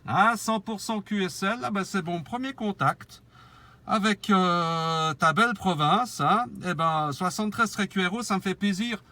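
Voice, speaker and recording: High-voiced radio man. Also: measured pace, sharp tone. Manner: cordial, spells out call signs phonetically. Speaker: mobile radio operator. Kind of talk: amateur radio contact, call signs and signal reports.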